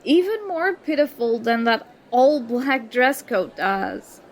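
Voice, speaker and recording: Casting: female performer as sarcastic college girl in an audio roleplay, highish voice, unhurried delivery, confrontational and wounded pride. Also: lively intonation, exaggerated voice. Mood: accusing, hurt. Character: indignant young woman student, sarcastic former school enemy. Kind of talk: ASMR roleplay acting, one side of a dialogue.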